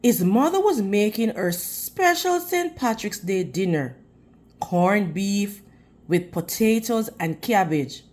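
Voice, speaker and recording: A woman reads a picture book aloud for young children at an unhurried pace. Her pitch moves up and down in a lively way, and she speaks like a teacher, prompting her listeners as she goes. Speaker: children's read-aloud storyteller. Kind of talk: storybook read-aloud with questions to young children.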